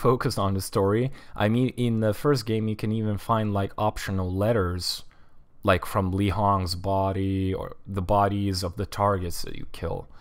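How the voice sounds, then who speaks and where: A man in his twenties with a Lithuanian accent, a streamer chatting casually and rambling as he plays, often restarting words and saying "like". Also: fairly low pitch. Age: 20s. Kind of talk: casual chat while streaming a game.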